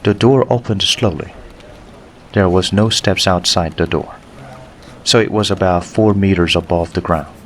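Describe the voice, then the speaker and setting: A man's voice: deep voice, a little hiss or hum. A man narrating an audiobook story in a scripted reading style.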